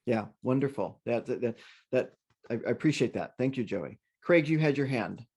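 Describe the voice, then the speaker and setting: A man's voice: mid-range voice, expressive, normal speed, a little mellow. A man presenting an online workshop in casual talk, friendly and rambling, often restarting his sentences. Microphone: video call.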